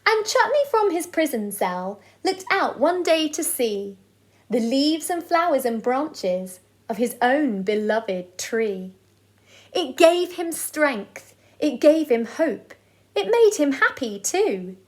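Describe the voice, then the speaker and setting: A woman reading aloud to children as a storyteller, in an expressive style. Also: sits high. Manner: deliberate, sing-song delivery of rhyming verse.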